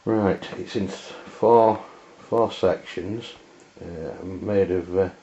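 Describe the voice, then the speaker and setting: A man's voice: sits low, steady tone, warm tone. A man with an English accent giving an unscripted, hesitant product demonstration in plain words, recorded on a dull lo-fi camera microphone. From England. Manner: hesitant, halting, plain-spoken. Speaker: amateur radio reviewer.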